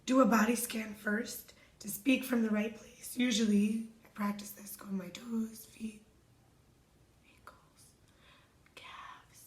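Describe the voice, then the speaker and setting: A hoarse woman giving a reflective self-help talk as a wellness coach, speaking softly and meditatively and often dropping to a whisper. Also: on the high side, little pitch movement, slow. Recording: echoey room.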